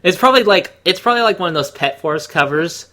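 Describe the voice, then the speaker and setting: A man with a fairly high, bright voice chats as a comedy co-host. He is sarcastic and casual, tossing in wry commentary. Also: animated, fast talker.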